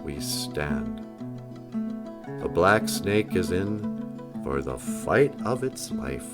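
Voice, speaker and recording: A man reading a picture book aloud in a solemn, dramatic storytelling style with deliberate pauses. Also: deep voice, very animated. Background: music.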